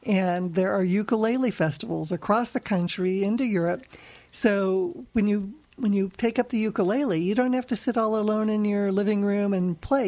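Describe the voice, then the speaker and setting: A woman with a lower-pitched voice chats easily in an interview. She explains things in a relaxed way and tells anecdotes, often laughing between them. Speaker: ukulele festival organizer. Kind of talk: casual interview chat.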